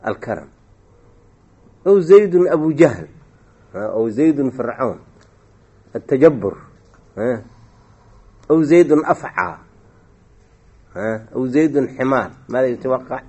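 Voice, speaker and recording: A husky-voiced man teaching a grammar class, his pitch rising and falling a lot as he explains. He keeps checking on his students with quick questions and repeats key phrases slowly. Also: lively intonation, dark tone.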